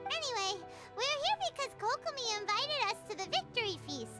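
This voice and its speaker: A tiny, childlike fairy girl from a cartoonish video game. The squeaky voice is extremely high and very animated. She comes across as chirpy and nosy, with loud music playing behind her. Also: a little fast. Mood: breezy.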